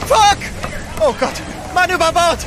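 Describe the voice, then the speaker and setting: An actor playing a loyal, excitable manservant aboard a sailing ship in a German audio drama. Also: male voice, very high-pitched, measured pace, music in the background, crowd noise. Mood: alarmed, desperate. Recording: noisy storm and crew sounds behind.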